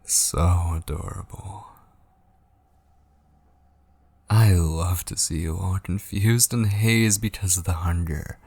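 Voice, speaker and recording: An obsessive yandere kidnapper, played by a man, murmurs in a low, gravelly voice for an ASMR roleplay. He is possessive and sweetly menacing, coaxing slowly and often sinking toward a husky whisper, very close and dry on the mic. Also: fairly even intonation, a little mellow, no room sound, exaggerated voice. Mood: possessive teasing. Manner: slow, coaxing, teasing endearments. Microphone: close studio microphone, dry.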